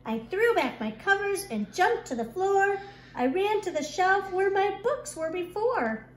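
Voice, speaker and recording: A woman kindergarten teacher reading a rhyming picture book aloud to young children in an expressive, deliberate storytelling manner. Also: very high voice. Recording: echoey room with clear background noise.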